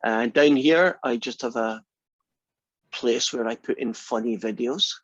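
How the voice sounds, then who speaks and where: A man's voice: mid-range voice, measured pace, dry recording. An older Scottish man in his sixties, a math instructor opening his class in a joking, teasing way. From Scotland. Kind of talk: class introduction. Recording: slightly dull lo-fi sound.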